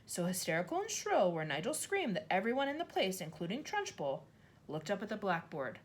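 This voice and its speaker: Storybook child: a small boy, high, shrill and panicky, as a woman reading puts him on. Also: very animated, fairly quick. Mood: shrieking. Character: small frightened schoolboy.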